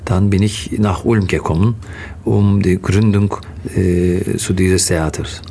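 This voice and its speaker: A middle-aged man from Turkey with a Turkish accent, answering interview questions haltingly and pausing to search for words. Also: sits low, steady tone, creaky, warm tone, slight background noise. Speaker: interview guest, theatre actor.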